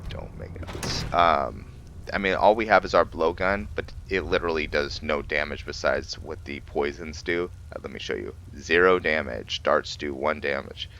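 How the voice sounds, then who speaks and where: Low voiced guy talking thru his game, bright crisp edge, kinda halting, bit of noise behind. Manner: thinking aloud, halting. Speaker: gaming video host. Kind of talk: casual game playthrough commentary.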